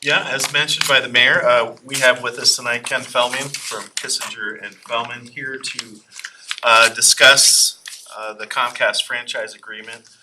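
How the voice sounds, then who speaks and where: A man's voice: medium pitch, little pitch movement, a little slow. A man serving as city attorney, giving a businesslike introduction at a council meeting. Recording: distant, noisy council chamber mic.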